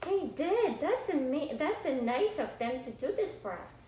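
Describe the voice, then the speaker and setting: A woman voicing an earnest, agreeable young child puppet with deliberate, drawn-out phrasing. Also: high-pitched, very animated, dark tone. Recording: echoey classroom, distant mic, faint noise.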